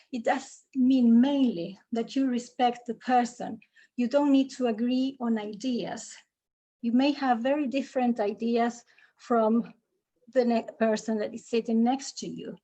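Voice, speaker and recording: Slow-speaking woman giving a talk. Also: a little high, darkish tone, low-quality recording. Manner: measured, explanatory, with frequent fillers and restarts. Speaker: conference speaker. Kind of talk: presentation to an audience.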